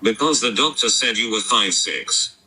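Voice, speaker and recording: A man's voice: on the low side, expressive, moderate pace, sharp tone, a little hiss or hum, poor audio. A synthetic, robotic male voice confronting someone on a call with blunt, clipped, insistent sentences.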